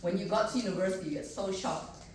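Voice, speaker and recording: A woman's voice: mid-range voice, quick pace, a little mellow, slight background noise. A middle-aged woman from Indonesia with an Indonesian accent, a maths professor telling her story on a panel in an earnest, chatty way with many fillers. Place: festival panel room. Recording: echoey room, distant mic.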